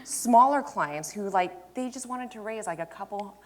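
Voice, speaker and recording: A woman's voice: medium pitch, expressive, moderate pace. A woman in her thirties, a startup founder on a panel, talking candidly and informally about herself. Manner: informal, peppered with "like" and self-quotation. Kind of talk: candid conversational storytelling on stage. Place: indoor event stage before an audience. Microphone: lapel mic.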